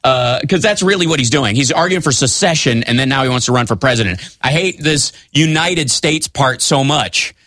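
A man appearing as a studio guest on a talk show, sarcastic and animated while giving his opinions. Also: mid-range voice, moderate pace, hardly any pauses, no room sound. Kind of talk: political discussion.

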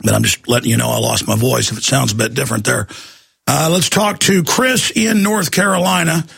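A radio talk show host, who is losing his voice, talking in an emphatic, ranting way that climbs high when he gets worked up. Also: a little fast, gravelly, fairly bright tone, slightly rough. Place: radio studio. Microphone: studio microphone.

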